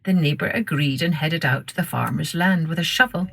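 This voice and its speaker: A woman narrating a scripted children's story in a measured, expressive storytelling manner. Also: deep voice, gravelly, soft background music. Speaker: storytelling podcast host.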